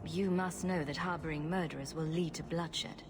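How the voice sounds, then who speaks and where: A woman's voice: deep voice, steady tone, normal speed, natural voice. An actress playing a bold female rogue hero who speaks in a wry, direct way. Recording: game audio with loud ambient noise.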